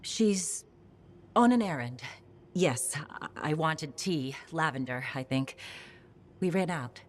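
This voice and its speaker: A confused, halting woman, low and slow. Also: lively intonation, a little hiss or hum. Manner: hesitant, halting, soft. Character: confused gentle woman unsure of her memories. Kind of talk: video game dialogue.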